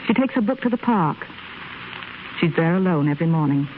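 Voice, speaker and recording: An actress playing a practical female nurse who speaks in a calm, matter-of-fact, slightly teasing way. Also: fairly low pitch, a little fast, a little hiss or hum, natural voice. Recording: tinny 1930s radio broadcast, voice off-mic.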